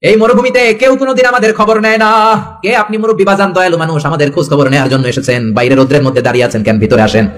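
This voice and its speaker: A man's voice: on the high side, steady tone, rapid-fire, a bit bright, lightly acted. Man acting out a polite, hospitable young wife. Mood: welcoming. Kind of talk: acted dialogue within a sermon.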